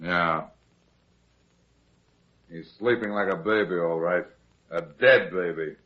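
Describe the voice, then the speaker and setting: Wry heavyset private eye, low-pitched, husky, deadpan, wisecracking, old-time radio detective drama. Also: male voice, slow, dull audio. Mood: grim, businesslike.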